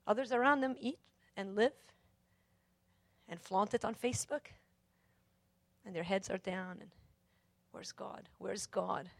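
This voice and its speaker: A woman from Lebanon preaching a sermon, repeating phrases for emphasis and moving between hushed and forceful delivery. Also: medium pitch, unhurried, vocal fry, pauses often, no room sound. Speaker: preacher, Bible teacher. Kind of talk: sermon with scripture reading and personal testimony.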